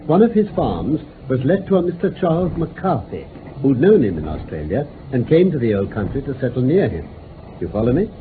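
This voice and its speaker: An actor playing a confident, methodical British detective, speaking precisely and with authority in a radio drama. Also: male voice, mid-range voice, very animated, fairly quick, lightly acted. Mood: explaining. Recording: muffled noisy vintage radio recording.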